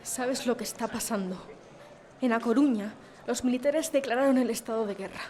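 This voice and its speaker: A woman's voice: fairly high pitch, moderate pace, a little mellow, lightly acted. A woman playing a devout, anxious but strong-willed village mother in a radio drama. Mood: alarmed. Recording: music behind the voice.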